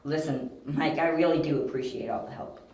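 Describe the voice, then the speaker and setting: A man's voice: a little high, a little fast, darkish tone, close to an everyday voice. A man playing a young, confident detective in an amateur audio drama, explaining things precisely. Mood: thoughtful. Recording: roomy amateur home recording.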